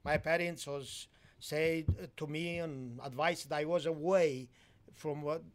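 A Romanian-born man speaking in halting, broken English with many fillers, giving a sermon testimony in a church. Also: fairly high pitch, steady tone. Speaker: guest preacher.

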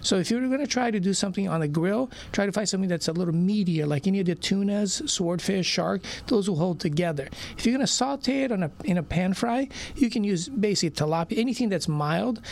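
A middle-aged man from Brazil talks casually as a radio guest, filling his talk with "you know." His voice sits high for a man and has a gravelly edge. He sounds loose and chatty. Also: animated, quick pace, hardly any pauses, a little mellow. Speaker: restaurant owner guest.

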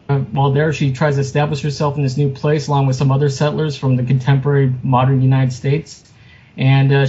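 A man being interviewed as a guest author, chatting casually in a halting, self-deprecating way. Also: mid-range voice, mellow. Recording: muffled lo-fi sound with noticeable background noise.

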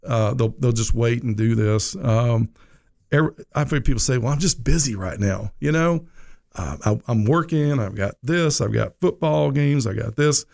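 Gravelly-voiced folksy radio host. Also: male voice, mid-range voice, moderate pace, a little mellow. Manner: folksy, rambling, frequent "you know". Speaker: radio show host, financial advisor. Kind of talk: casual radio talk.

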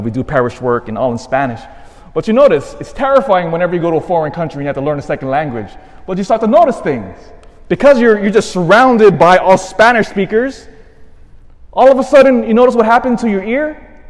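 Priest preaching in a reverberant church. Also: male voice, high-pitched, brisk. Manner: storytelling, swings from hushed to animated. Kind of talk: homily.

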